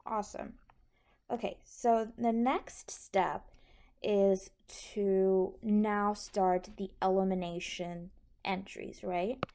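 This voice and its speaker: Slow-talking woman teaching step by step. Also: mid-range voice, fairly even intonation, warm tone. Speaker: accounting instructor. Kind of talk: step-by-step tutorial explanation.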